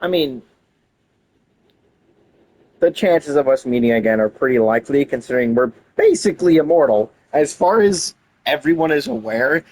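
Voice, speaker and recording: A man's voice: medium pitch, lively intonation, moderate pace, pauses often, darkish tone, rough recording. A man giving casual commentary on a game playthrough in a rambling, blunt, sweary way. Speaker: game streamer. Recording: faint background game noise.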